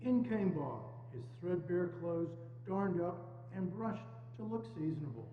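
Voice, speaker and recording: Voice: mid-range voice, expressive, unhurried. A narrator reading scripted stage narration of a classic story. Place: indoors, church. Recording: muffled, thin echoey hall, faint hum.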